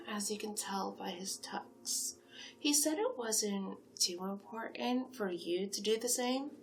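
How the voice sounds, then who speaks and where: Slow, creaky female sphinx matchmaker, acted by a woman. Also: medium pitch, a little hiss or hum. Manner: coaxing, flattering, chatty, whispery in places. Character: flattering, gossipy female sphinx monster matchmaker. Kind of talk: soft-spoken ASMR roleplay.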